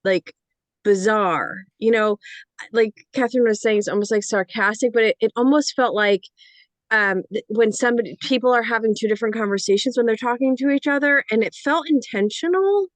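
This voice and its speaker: A woman's voice: fairly high pitch, a little fast, no room sound. A woman giving informal feedback on a script as a panellist, speaking hesitantly and often dropping in "like". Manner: hesitant, peppers speech with "like".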